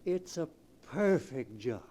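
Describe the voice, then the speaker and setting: Mild, loyal assistant, mellow voice. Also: male voice, on the high side, sing-song, takes it slowly, exaggerated voice. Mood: dutiful. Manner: polite, earnest, hesitant. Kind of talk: 1940s radio drama acting. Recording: faintly noisy vintage radio recording.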